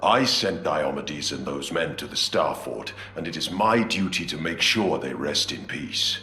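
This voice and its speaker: A man's voice: a bass voice, animated, unhurried, bright, forceful tone, heavily acted. An actor playing a dutiful, resolute male commander of space warriors in a video game, speaking solemnly and formally. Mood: solemn, resolved. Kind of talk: video game cutscene acting. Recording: echoey, faint music behind.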